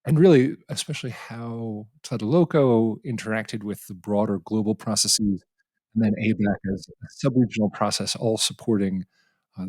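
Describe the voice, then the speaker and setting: Man hosting a podcast, husky voice, halting restarts, dry close mic. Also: lowish voice, no room sound, poor audio. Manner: halting, many restarts, thinking aloud. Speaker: podcast host. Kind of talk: conversational interview.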